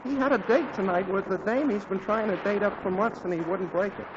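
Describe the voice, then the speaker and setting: A man playing a sheepish, high-voiced teen bandleader. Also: fairly quick, close to an everyday voice. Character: sheepish teenage boy bandleader. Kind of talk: 1940s radio comedy acting. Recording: muffled old radio broadcast with hiss.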